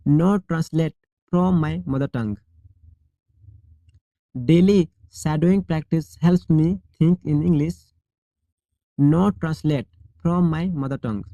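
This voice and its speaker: Slow, deliberate male English teacher. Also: fairly high pitch, warm tone, no echo, low-quality recording. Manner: slow, deliberate, each sentence repeated clearly. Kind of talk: shadowing drill, reading model sentences.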